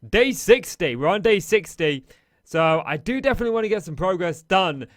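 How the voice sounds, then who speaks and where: A man with a high-pitched voice talks through his game in easy running commentary, calling out each step as he goes. His voice is slightly hoarse, as if he is losing it. The sound is dry, with no room echo. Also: expressive, moderate pace. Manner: chatty, narrates each action as he plays. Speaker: Minecraft let's play host. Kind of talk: casual gameplay commentary.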